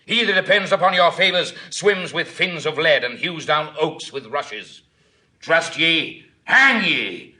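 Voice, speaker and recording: Piercing, scornful Roman warrior. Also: male voice, fairly high pitch, lively intonation, unhurried, pushed and bright, full character voice. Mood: scornful fury. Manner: declamatory, scathing. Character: proud, contemptuous Roman warrior nobleman. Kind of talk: classical Shakespearean stage acting.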